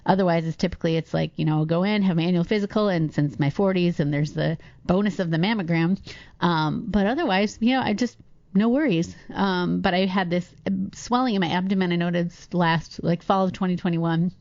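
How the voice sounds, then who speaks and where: A woman's voice: on the low side, fairly quick, a little mellow, dry recording, muffled recording. A woman in her late forties co-hosting a podcast, speaking candidly and chattily about her own life. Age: late 40s. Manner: candid, chatty, laughs easily. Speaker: podcast co-host. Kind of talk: casual podcast conversation.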